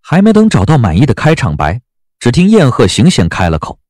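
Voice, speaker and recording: A man narrating a story as an audiobook narrator, in a scripted, expressive and measured dramatic style. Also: medium pitch, no room sound, clean studio sound.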